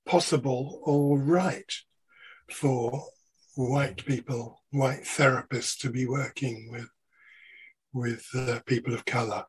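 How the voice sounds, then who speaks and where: A man co-hosting a podcast who asks questions hesitantly, pausing often as he looks for the right words. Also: mid-range voice, steady tone, slight background noise, poor audio. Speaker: podcast co-host. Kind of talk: interview questioning.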